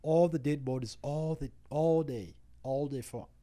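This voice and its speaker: East african guy telling his life story on a podcast, soft mellow voice, a bit on the high side, acts out what people said. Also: measured pace. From Uganda, Rwandan refugee family. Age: about 40. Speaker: podcast guest. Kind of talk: personal testimony in an interview.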